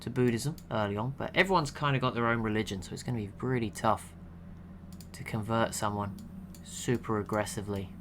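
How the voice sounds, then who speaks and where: A young man giving relaxed, chatty commentary over a strategy game he is watching, laughing to himself now and then. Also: on the low side, a little fast, slight background noise. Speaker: gaming channel host.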